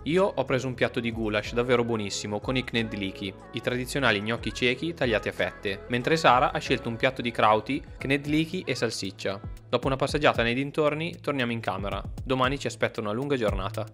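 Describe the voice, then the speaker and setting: A man narrates a travel vlog from a script. He moves along at a brisk pace in a mid-range voice, with faint music underneath. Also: medium pitch, fairly bright tone. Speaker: travel vlogger, co-host.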